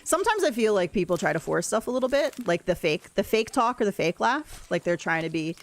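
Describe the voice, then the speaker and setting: A woman with a deep voice, chatting casually and rambling while she plays a game on a livestream and answers her chat. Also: sing-song, fairly quick. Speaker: streamer. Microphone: desk mic, no camera.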